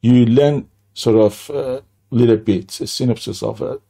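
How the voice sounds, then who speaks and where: An older man from Iran with a Persian accent, telling his life story as an interview guest in a halting way, with many restarts. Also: medium pitch, creaky, mellow.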